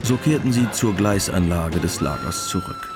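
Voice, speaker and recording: A man narrating a scripted radio drama in a deadpan, matter-of-fact way. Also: fairly low pitch, moderate pace, loud music behind the voice.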